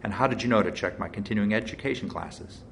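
A man's voice: fairly low pitch, quick pace, vocal fry, a little hiss or hum. A man voicing a wry, sarcastic male amateur sleuth under suspicion, as a character in an audiobook. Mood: guarded.

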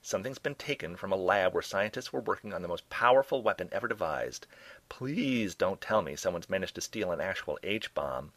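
Man acting a pompous, theatrical old gentleman sleuth, animated. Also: deep voice, normal speed, no echo. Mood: smug, reasoning. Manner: pompous, declamatory.

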